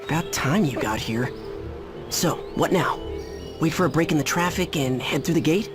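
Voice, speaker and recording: A man's voice: a little high, animated, moderate pace, close to an everyday voice. An actor playing a breezy, confident teenage boy hero in a video game cutscene. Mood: breezy, puzzled. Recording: loud music under the voice.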